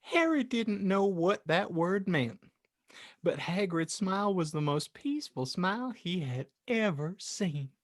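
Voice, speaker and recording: A man's voice: sits high, lively intonation, measured pace, no room sound, clean studio sound. A man hosting a podcast, reading a comic story aloud with dramatic narration and joking banter. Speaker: podcast host and audiobook reader.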